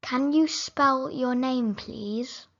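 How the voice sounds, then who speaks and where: A woman reading simple English phrases for learners, saying each sentence slowly and clearly, then repeating it. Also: sits high, a bit bright, lo-fi sound. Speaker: English teacher.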